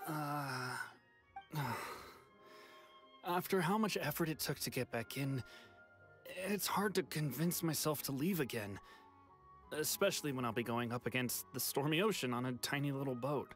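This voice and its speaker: A man's voice: mid-range voice, moderate pace, a bit bright, faint music behind, close to an everyday voice. A man voicing a wistful, regretful exile in a video game, speaking earnestly and a little hesitantly. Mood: weary, dejected.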